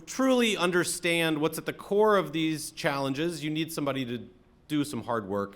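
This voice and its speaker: An American man from Atlanta speaking as a rabbi, giving a conversational, reflective sermon with frequent halting pauses. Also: fairly low pitch, fairly bright tone. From Atlanta, American South. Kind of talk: sermon, conversational talk to congregation.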